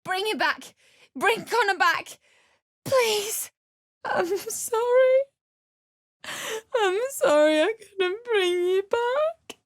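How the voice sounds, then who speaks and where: A woman's voice: extremely high pitch, expressive, extremely slow, pauses often, no room sound, clean studio sound, exaggerated voice. A young woman voicing a desperate, bitter, grieving widow in a fantasy video game, emotional and blunt. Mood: pleading, grief-stricken. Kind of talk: video game character dialogue.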